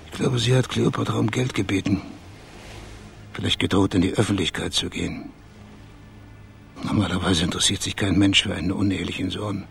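A radio crime drama detective, really deep gravelly voice, measured and matter of fact, bit of music under it. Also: male voice, steady tone, a little hiss or hum. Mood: calm, grave. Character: low-voiced male sleuth calmly reconstructing a murder.

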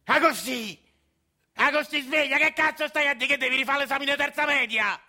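An actor playing a cranky, foul-mouthed old grandfather who yells in a Roman accent. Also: extremely high pitch, moderate pace, pitched above the performer's own voice, full character voice. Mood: yelling scold. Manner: yelling, crude, bitter complaining. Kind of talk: radio drama acting.

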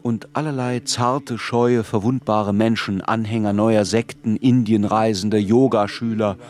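An actor playing a man recalling his youth, narrating a literary radio drama in a measured, grave, reflective way. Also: fairly low pitch, steady tone, darkish tone, lightly acted.

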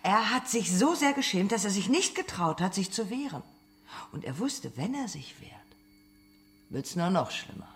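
An actor playing a relentless, accusing interrogator in a German radio drama, speaking in short pointed phrases. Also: male voice, sits high, sing-song, normal speed.

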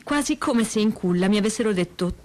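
A woman acting a pensive descendant haunted by an unspoken family past, in a measured, hesitant staged reading of testimony. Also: mid-range voice, animated, darkish tone, lightly acted. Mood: pensive, uncertain.

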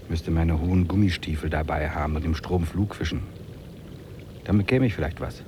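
Man acting low-pitched determined outdoorsman, brooding, deliberate, vintage radio drama, faint water noise. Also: lightly acted. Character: stubborn, determined male wilderness trekker and angler. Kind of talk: 1950s radio play acting. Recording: noisy track with running water.

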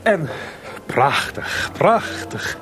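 An actor playing an effusive gentleman admirer in a Dutch radio play, with drawn-out, approving delivery. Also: on the high side, sing-song, faint music behind, full character voice. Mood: delighted. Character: effusive male admirer congratulating a composer.

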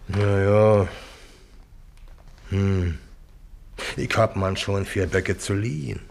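A man's voice: low-pitched, steady tone, extremely slow, creaky, a little mellow, full character voice. An actor playing a crafty old bargeman in a German radio play, grumbling and wheedling in Berlin dialect. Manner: grumbling, plaintive, haggling. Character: wheedling, crafty old bargeman who deals in poached goods.

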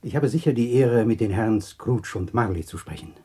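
An actor playing a formal, courteous gentleman caller in a German 1960s radio play, ceremonious and husky. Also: fairly low pitch, lively intonation, brisk. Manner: polite, ceremonious.

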